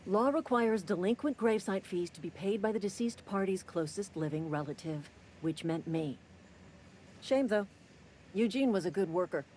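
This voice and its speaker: A woman playing a gruff, jaded company-town worker, curt and deadpan, in a video game. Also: mid-range voice, moderate pace, slight background noise, close to an everyday voice. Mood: deadpan, cynical. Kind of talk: video game dialogue acting.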